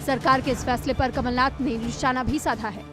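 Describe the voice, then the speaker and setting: A woman's voice: high voice, steady tone, moderate pace. A woman presenting the news in a scripted narration style. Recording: music bed under voice.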